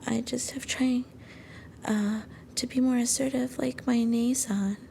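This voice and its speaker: A woman playing a sweet, doting young anime girlfriend in a soothing ASMR roleplay, affectionate and gently coaxing. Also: on the high side, steady tone, a little slow, vocal fry, a little hiss or hum. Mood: tender, unsure.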